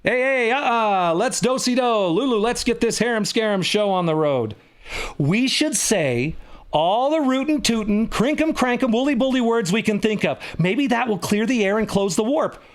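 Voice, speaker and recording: Man putting on a brisk, high-pitched woman nurse. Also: lively intonation. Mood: rallying. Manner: emphatic, knowing.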